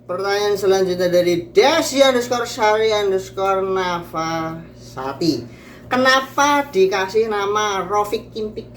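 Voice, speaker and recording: A young man, reading out viewer questions slowly and chatting in an upbeat way. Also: high voice, fairly bright tone, a little hiss or hum. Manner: upbeat, slow and careful when reading questions. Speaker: question reader.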